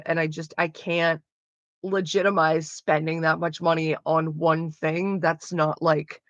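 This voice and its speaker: A woman's voice: fairly low pitch, fairly bright tone, no echo, dull audio. A woman with a Canadian accent chatting casually with her livestream viewers, rambling and swearing freely. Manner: rambling and profane, loose with frequent fillers.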